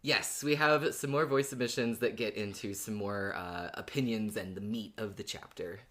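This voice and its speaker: A woman's voice: extremely low pitch, normal speed, fairly bright tone. A woman co-hosting a podcast in casual chat, often laughing as she talks. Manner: giggly, laughs often while talking. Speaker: podcast co-host.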